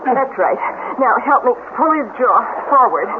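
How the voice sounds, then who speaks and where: An actress playing a capable, brisk young nurse trapped in a cave, in 1970s radio drama style. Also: female voice, fairly high pitch, animated, natural voice. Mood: brisk, concerned. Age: young adult. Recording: noisy, phone-like old radio broadcast.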